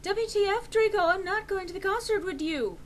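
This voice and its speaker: A woman's voice: extremely high pitch, a little fast, pitched above the performer's own voice. A woman voicing a melodramatic, easily outraged teenage goth girl in a dramatic story reading, gushing and spelling out slang initials. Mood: indignant. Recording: noisy amateur home recording.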